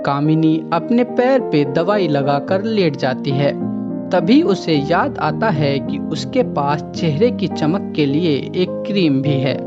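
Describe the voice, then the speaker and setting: A woman narrating a children's moral story in a calm, measured way. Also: low voice, muffled recording, over loud music. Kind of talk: scripted narration.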